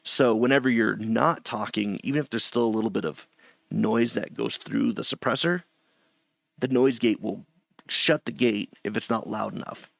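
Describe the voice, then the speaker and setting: Gravelly low-voiced man, chatty tutorial. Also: normal speed, fairly bright tone, no room sound. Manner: loose and chatty, with restarts and asides. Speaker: streamer teaching audio setup. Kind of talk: casual screen-share tutorial. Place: small home room at a desk.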